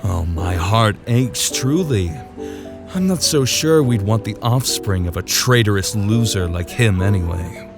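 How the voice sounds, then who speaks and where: Man acting a smug, slow, low-voiced exorcist. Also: animated. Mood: smug, mocking. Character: smug, taunting, sarcastic male exorcist. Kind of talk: audiobook drama acting. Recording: background music.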